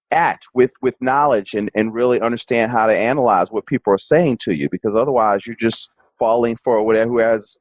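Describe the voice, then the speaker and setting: A man's voice: fairly low pitch, no room sound, low-quality recording. A man hosting a finance podcast, talking in a folksy, rambling way with lots of fillers. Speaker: podcast host. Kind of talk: casual interview chat.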